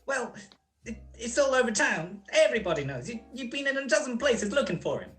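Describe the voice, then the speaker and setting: A woman's voice: on the high side, sing-song, a little fast, faint music behind. A woman playing a nervous male stool pigeon in a radio crime drama, stammering, slangy and pleading. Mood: nervous, evasive. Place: recorded at home over a video call.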